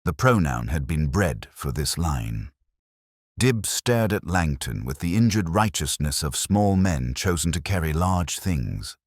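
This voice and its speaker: A man's voice: very deep voice, animated, measured pace, dry recording, studio quality. A man reading scripted literary mystery narration as a storyteller. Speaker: audiobook narrator.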